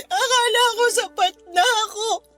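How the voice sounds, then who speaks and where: Sobbing, high-pitched heartbroken wife. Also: female voice, normal speed, pushed and bright. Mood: bitter, tearful. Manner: tearful, pleading, repeats herself. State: crying. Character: heartbroken betrayed young wife and mother, anguished, self-doubting. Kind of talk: Tagalog radio drama acting. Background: background music.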